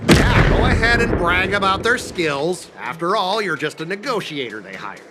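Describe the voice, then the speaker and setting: A man's voice: high-pitched, big pitch swings, normal speed, music in the background. A man voicing a stubborn, blustering corporate cargo officer in a video game, indignant, officious and argumentative. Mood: scornful, blustering. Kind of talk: animated video game voice acting.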